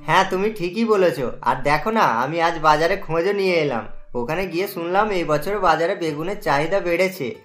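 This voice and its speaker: A man's voice: on the high side, lively intonation, fairly quick, fairly bright tone. Earnest village farmer acted by a man, music behind. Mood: happy, hopeful. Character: earnest, hopeful poor male village eggplant farmer.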